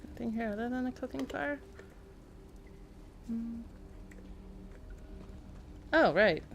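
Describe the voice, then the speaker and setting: A woman's voice: a little high, takes it slowly, gravelly, music in the background. A woman chatting casually and thinking aloud as she livestreams a video game. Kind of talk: casual game stream commentary.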